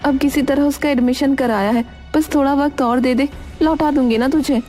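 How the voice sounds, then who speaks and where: A woman plays a poor village mother in a cartoon moral tale. Her voice is high and mellow, yet she talks firmly, a strict and worried parent who scolds plainly. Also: steady tone, moderate pace, soft background music, natural voice. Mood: pleading. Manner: scolding, firm, plain-spoken. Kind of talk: cartoon moral story acting.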